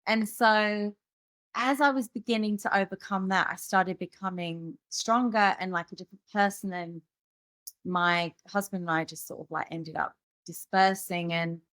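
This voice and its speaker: A woman's voice: mid-range voice, steady tone, normal speed, a bit bright, no echo. Female musician guest from the UK, candid storyteller, filler-heavy, dry recording. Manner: rambling, many fillers and restarts.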